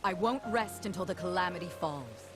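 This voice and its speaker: Proud warrior chieftain played by a woman, low, measured and commanding, protective and stately; game cutscene with clear music behind. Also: expressive, natural voice. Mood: fond, solemn. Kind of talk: dramatic game cutscene acting.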